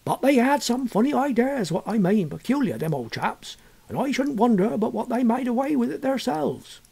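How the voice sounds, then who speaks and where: A man voicing a plain-spoken village innkeeper in a storybook, with folksy country speech and a husky voice. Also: extremely high pitch, expressive, moderate pace, mellow, pitched above the performer's own voice, heavily acted. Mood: wary, confiding. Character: genial rustic male innkeeper, plain-spoken village local.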